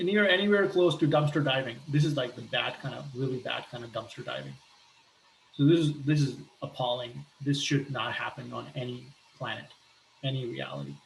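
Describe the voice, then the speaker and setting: A man from Pakistan talking earnestly about his life, pausing often and restarting his sentences. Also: medium pitch, creaky, fairly bright tone, echoey room, slight background noise, rough recording. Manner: hesitant, earnest, many restarts and fillers. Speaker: entrepreneur sharing a personal appeal. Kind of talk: personal talk with shared images.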